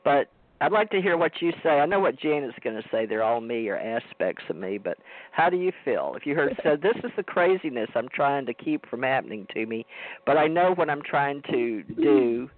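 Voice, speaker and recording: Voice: medium pitch, animated, moderate pace, dry recording, phone-quality sound. A man hosting a casual talk show, rambling and interrupting himself as he asks a guest questions. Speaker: host.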